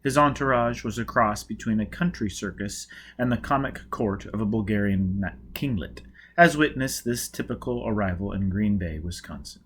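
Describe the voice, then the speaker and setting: A man reading a novel aloud as an audiobook, with emphatic, deliberate dramatic narration. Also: lowish voice, a bit bright, slightly rough. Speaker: audiobook reader.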